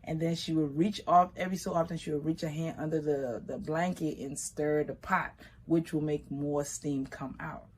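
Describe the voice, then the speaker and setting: A middle-aged woman from Liberia telling stories about her grandmother in a relaxed, conversational way. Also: low-pitched, moderate pace, few pauses, a little hiss or hum. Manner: relaxed, conversational, shifting pace, Liberian English phrasing. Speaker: vegan food business owner. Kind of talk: personal storytelling.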